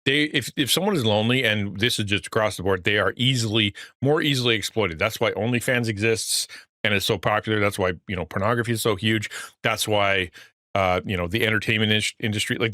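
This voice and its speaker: Earnest fatherly man, bright dry voice. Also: mid-range voice, dry recording. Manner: earnest, rambling with restarts, reads chat aloud. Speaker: pastor and streamer. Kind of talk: casual livestream talk.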